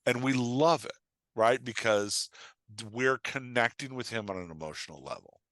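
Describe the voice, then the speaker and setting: A man's voice: mid-range voice, moderate pace, fairly bright tone, no room sound. A man talking casually as a podcast guest, explaining with examples in an emphatic, storytelling way and often checking in with his listener. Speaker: podcast guest, writing coach and author.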